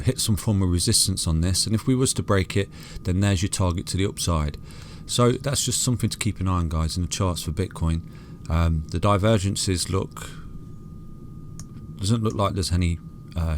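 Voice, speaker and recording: A man's voice: low-pitched, a little hiss or hum. A man with a soothing voice walks through crypto charts in a casual, chatty way, calling listeners "guys" and "mate" and restarting phrases often.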